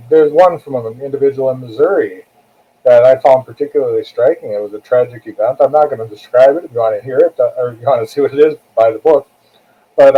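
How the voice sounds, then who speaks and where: A man's voice: mid-range voice, husky. Phone-like audio, older American man. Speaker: guest author, retired corrections captain. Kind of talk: conversational interview answers. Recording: tinny, phone-like narrow-band call audio.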